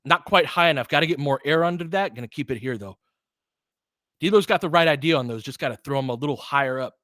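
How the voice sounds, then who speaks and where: A man's voice: fairly high pitch, fast, fairly bright tone, no room sound, clean studio sound. A man giving informal live basketball play-by-play as a partisan commentator who urges on the home team.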